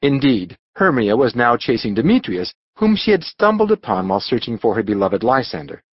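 Husky, animated male storyteller. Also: mid-range voice, fairly quick, no room sound, highs cut off. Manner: dramatic, expressive storytelling. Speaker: audiobook narrator. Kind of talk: scripted storybook narration.

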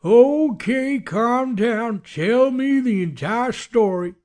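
A man voicing a sceptical small-town sheriff in a story, firm, slow and patronising. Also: extremely high pitch, lively intonation, darkish tone, pitched above the performer's own voice, full character voice. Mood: calming.

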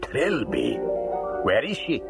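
An actor playing a villain's downtrodden, lovelorn servant in a radio melodrama, speaking earnestly and haltingly. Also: male voice, medium pitch, huge pitch swings, vocal fry, loud music behind the voice, heavily acted. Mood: anguished, devoted. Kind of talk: melodramatic radio drama acting.

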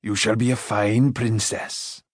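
A kindly older male farmer in an audiobook, as a man puts him on. He speaks gently and fatherly, in a plain, even voice. The sound is dry and close. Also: lowish voice, slow pace, dry recording. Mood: fond. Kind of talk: audiobook character voice.

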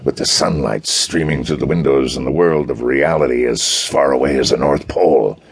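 A man voicing a gruff, exasperated federal police chief, blunt and grumbling, with a gravelly voice, in a pulp detective audiobook. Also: rumbling low, moderate pace, heavily acted. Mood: grumbling envy.

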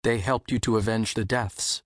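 A man acting a gentle, teasing wizard and teacher in an audiobook, with a light voice and articulate, slightly formal speech. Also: lowish voice, fairly even intonation, normal speed, dry recording, clean studio sound, natural voice. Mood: concerned, probing. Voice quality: light male voice.

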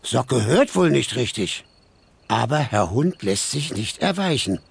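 A man narrating a children's story from a script in an expressive, lively way with dramatic pauses. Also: medium pitch, vocal fry, slight background noise.

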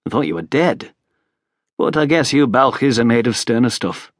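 A man's voice: mid-range voice, expressive, normal speed, no echo, clean studio sound, lightly acted. A man voicing a hearty, plain-spoken, hospitable short broad lord in an audiobook, with a bluff, informal manner. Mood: bluff, welcoming. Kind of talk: audiobook character voice.

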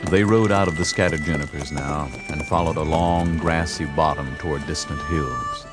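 A man's voice: very deep voice, normal speed. A man reading scripted, dramatic narration for a western audiobook. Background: music and horse hoofbeats.

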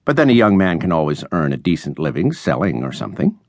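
A man voicing a gabby, falsely bright middle-aged landlady in a storybook reading. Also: sits low, sing-song, fast talker, no echo, studio quality, exaggerated voice. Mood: falsely sympathetic. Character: chatty, gushing middle-aged landlady and proud mother.

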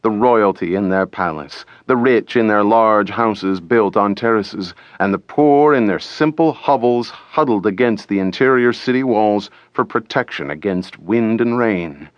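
Low-voiced man narrating an audiobook dramatically. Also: no room sound, highs cut off. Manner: deliberate, theatrical.

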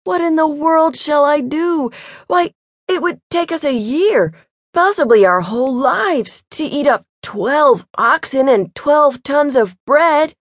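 Woman putting on a despairing simpleton youth, wailing, high voice, dry close sound. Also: lively intonation, slow, no echo, heavily acted. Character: anxious poor simpleton young man.

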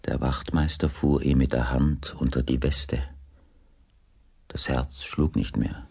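Male narrator, extremely deep, husky, slow, scripted mystery narration. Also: flat delivery, darkish tone. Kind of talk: scripted audiobook drama narration.

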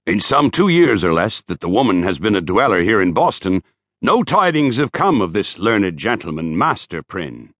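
A man's voice: lowish voice, very animated, normal speed, fairly bright tone, dry recording, studio quality. A man voicing a talkative, self-righteous Puritan townsman in an audiobook, speaking in an emphatic, old-fashioned, declamatory way. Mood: gossipy, emphatic.

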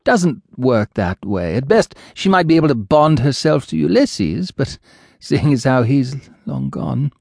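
A man's voice: mid-range voice, animated, a little slow, gravelly, darkish tone, no echo, clean studio sound, voice pushed up. A man reading an audiobook, voicing an elderly female ghost witch who is doting, nosy and fond of calling people dearie. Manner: fluttery, motherly, teasing, calls others dearie.

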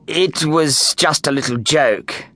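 A woman's voice: low voice, slow pace, a bit bright, no echo, faint music behind, heavily acted. A woman voicing a formal, reassuring male school principal in a children's storybook. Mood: placating.

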